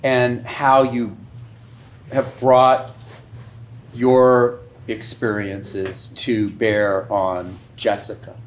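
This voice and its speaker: A man moderating a panel, inviting a guest to speak in an unhurried way. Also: mid-range voice, level tone, a little hiss or hum. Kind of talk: panel discussion.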